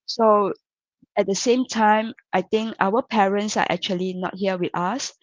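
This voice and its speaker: A woman host from Malaysia in a casual online chat, talking warmly about family life with many fillers. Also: mid-range voice, fairly even intonation, measured pace, no echo, rough recording. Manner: chatty, relatable, many fillers. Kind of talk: casual live chat interview.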